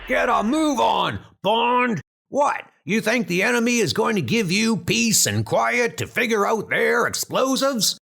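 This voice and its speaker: A man's voice: sits high, vocal fry, background noise, heavily acted. An actor voicing a harsh, sadistic military training instructor in a comic dub, barking and goading his trainees.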